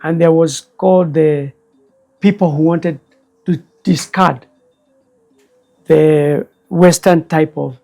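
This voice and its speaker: An older man, a guest elder recalling the past in slow, measured speech. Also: a little high, fairly even intonation, creaky, a little mellow. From Kenya. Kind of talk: reflective storytelling interview.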